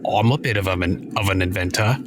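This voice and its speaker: A man's voice: deep voice, fairly quick, background noise. A man playing a tabletop game, easygoing with dry humor. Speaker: player. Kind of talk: casual tabletop play.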